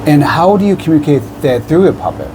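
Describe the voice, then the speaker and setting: A man's voice: fairly high pitch, fast talker. Guy host, chatty, voice swoops up and down a lot, noisy recording. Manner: lively, chatty, frequent affirming interjections. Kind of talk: casual interview.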